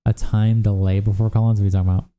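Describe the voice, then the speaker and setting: Casting: young male streamer, tired, profane and chatty, low pitch, very dark mellow tone. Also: little pitch movement. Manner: casual, profane, reacts to chat with quick asides. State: worn out from days of streaming. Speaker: livestream host. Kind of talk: casual livestream chat reading viewer comments.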